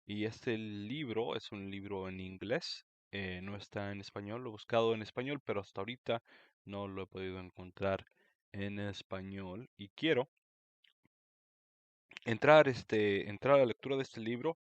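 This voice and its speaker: A man's voice: lowish voice, normal speed, clean tone, no echo, highs cut off. A Mexican-American man from Florida talking casually and unhurriedly, with many small fillers, about a history book. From United States (Florida), Mexican roots.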